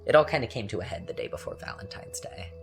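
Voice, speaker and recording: A man acting as a bitter, taunting young man in a confessional dramatic monologue, with a sardonic, halting, conversational delivery. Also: low voice, huge pitch swings, gravelly, full character voice. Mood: hurt, simmering. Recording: music under the voice. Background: music.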